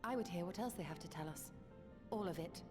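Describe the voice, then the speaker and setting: A composed, inquisitive fantasy game scholar, acted by a woman, with a somewhat low voice. She speaks formally and with deliberate seriousness while music plays behind her. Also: close to an everyday voice. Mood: composed, curious. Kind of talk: formal video game dialogue.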